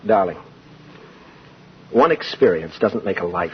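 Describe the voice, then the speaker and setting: A man's voice: deep voice, lively intonation, measured pace. A man playing an idealistic, earnest, persuasive lawyer in a 1950s radio drama, with an American accent. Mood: impassioned. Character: idealistic, earnest male lawyer defending Santa. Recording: muffled, noisy vintage radio broadcast.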